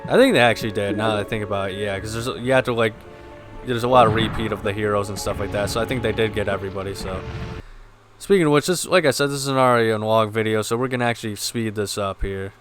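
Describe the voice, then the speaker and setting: A man hosting a gameplay video, mixing casual commentary with lore read aloud, in a somewhat halting delivery. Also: fairly low pitch. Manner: halting, stumbles while reading aloud. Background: game music.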